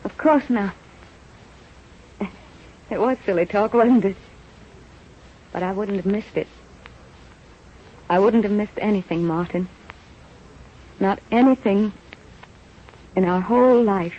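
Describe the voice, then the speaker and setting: An actress playing a brave, self-effacing, devoted wife and assistant to a doctor in an old-time radio drama. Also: female voice, mid-range voice, slow, pauses often. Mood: tender, wistful. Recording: muffled, faintly noisy vintage radio recording.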